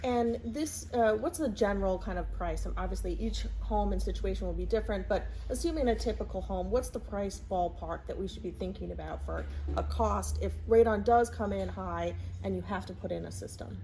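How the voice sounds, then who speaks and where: A woman's voice: mid-range voice, brisk, hardly any pauses, dark tone, rough recording. A woman hosting a conversational interview, asking a guest practical questions. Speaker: interviewer, real estate professional.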